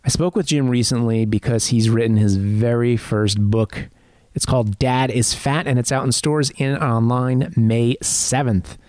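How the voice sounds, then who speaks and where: A man's voice: mid-range voice, a little mellow, no room sound. Conversational podcast host man. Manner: friendly, conversational, slight hesitations. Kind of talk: podcast intro and interview chat.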